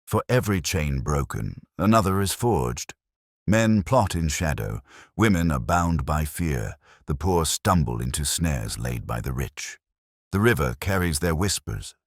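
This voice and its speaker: A man voicing a cool, detached Victorian detective in an audiobook, speaking formally and quietly in aphorisms. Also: a bass voice, big pitch swings, measured pace, dry recording, clean studio sound, heavily acted. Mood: somber, reflective. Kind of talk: audiobook character dialogue.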